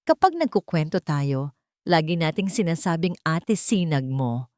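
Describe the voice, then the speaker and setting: A soft-spoken, thoughtful family man in an audio love story, read by a woman. The voice is gentle and reflective, low and very dry. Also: sing-song, measured pace, dry recording.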